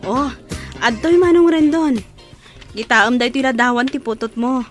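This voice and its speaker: A woman's voice: high voice, moderate pace, faint music behind, lightly acted. An actress playing a helpful, earnest young woman in an Ilocano audio drama. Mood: warm, reassuring. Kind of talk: Ilocano radio-style audio drama acting.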